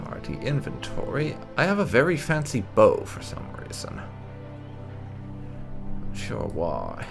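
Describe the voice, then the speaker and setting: Male gaming host, slow unhurried delivery, gravelly low voice, casual wry muttering. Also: huge pitch swings, over music. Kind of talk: casual roleplay game commentary.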